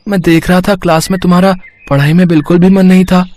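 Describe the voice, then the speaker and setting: Man doing a kind boy-god in a storybook, soft encouraging voice, a touch high-pitched. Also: fairly even intonation, quick pace, lightly acted. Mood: gentle. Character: gentle boy Ganu, god Ganesh in disguise.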